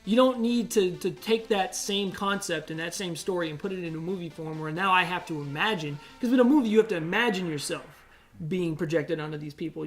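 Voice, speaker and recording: A podcast co-host gives casual, opinionated talk in a mid-range voice. The delivery is emphatic and slangy, with some swearing, and music plays faintly behind it. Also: medium pitch.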